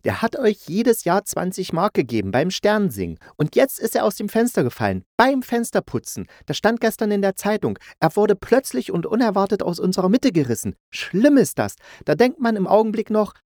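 Man putting on a high-pitched gossiping older mother. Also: very animated, normal speed, hardly any pauses, a little mellow, no room sound, clean studio sound. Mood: lamenting. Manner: insistent, gossipy, mock-tragic. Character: chatty gossiping older mother, lamenting a neighbour's death.

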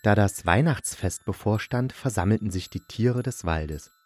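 A man's voice: on the low side, expressive, moderate pace, no echo. A man narrating a scripted children's story as the storyteller.

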